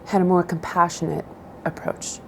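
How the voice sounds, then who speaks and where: A middle-aged woman from southern Idaho whose first language is Spanish, answering interview questions in a measured, thoughtful way with frequent pauses. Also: fairly low pitch, level tone, creaky, fairly bright tone, slight background noise, rough recording.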